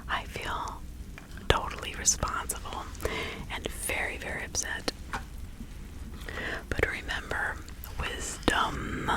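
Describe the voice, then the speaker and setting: A woman playing a kindly but indignant village witch healer in an ASMR roleplay, in a hushed, breathy, halting whisper. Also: lightly acted, low-quality recording.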